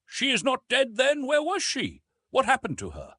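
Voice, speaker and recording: A man's voice: extremely high pitch, huge pitch swings, moderate pace, sharp tone, breathy edge, no echo, studio quality, voice pushed up, exaggerated voice. A man voicing a wounded French officer in a storybook, earnest, grateful and questioning. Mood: anxious questioning.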